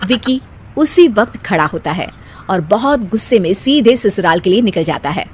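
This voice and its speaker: A woman narrating a cartoon moral story in a clear, even storytelling voice. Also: medium pitch, normal speed.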